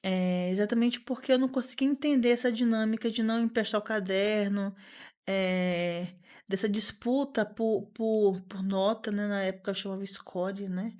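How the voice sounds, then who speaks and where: An earnest woman in her thirties, speaking in the middle of her pitch range. She talks in a lively, conversational way as an interview guest, circling back with repeated words and fillers as she builds her points. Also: moderate pace. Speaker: podcast guest.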